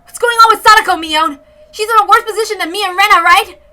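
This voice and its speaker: An anxious young story hero acted out by a woman presses urgently for answers. The voice is raised high and bright, earnest and insistent. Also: quick pace, heavily acted. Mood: worried insistence.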